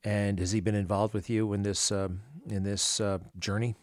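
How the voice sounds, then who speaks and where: A man with a low voice hosts an interview, firing off quick questions that stumble through fillers and restarts. The sound is dry and close, with no room around it. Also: flat delivery, dry recording. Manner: rapid, halting questions with fillers. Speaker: interview host. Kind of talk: podcast interview.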